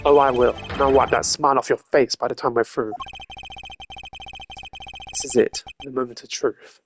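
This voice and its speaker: A woman voicing a proud, determined young male prosecutor in a video game, speaking in a measured, formal, accusing way. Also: rumbling low, steady tone, soft background music, voice pushed down. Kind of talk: game character voice acting.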